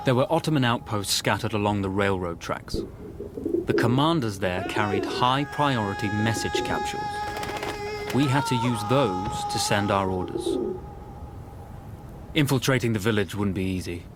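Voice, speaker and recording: Game narrator: assured military officer played by a man, low register, measured storytelling, prominent score underneath. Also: over loud music, close to an everyday voice. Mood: calm, grave. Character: composed British officer recounting a desert war mission. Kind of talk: scripted dramatic game narration.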